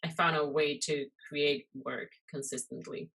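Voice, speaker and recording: A low-pitched woman from Costa Rica talks through an interview in a relaxed, conversational way. She leans on fillers and loose asides as she tells her story. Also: a little slow, poor audio. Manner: chatty, many fillers and "you know". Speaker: guest, muralist and illustrator. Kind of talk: casual interview chat.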